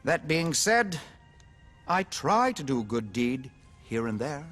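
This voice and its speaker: An actor playing a smug, cynical, needy politician in a video game, with a pompous, glib and effusive manner. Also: male voice, medium pitch, big pitch swings, takes it slowly, a bit bright, faint music behind, heavily acted. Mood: candid, impatient. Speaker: politician character. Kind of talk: video game cutscene acting.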